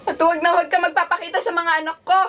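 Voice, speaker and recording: High-voiced, wounded wife played by a woman. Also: level tone, rapid-fire, fairly bright tone. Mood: tearful anger. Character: hurt, furious betrayed wife and mother. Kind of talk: radio drama acting.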